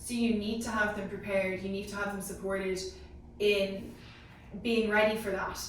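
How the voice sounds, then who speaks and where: A woman's voice: mid-range voice, level tone, normal speed. A woman giving her opinions emphatically in a casual group discussion. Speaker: panel member. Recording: echoey room, distant mic, faint noise.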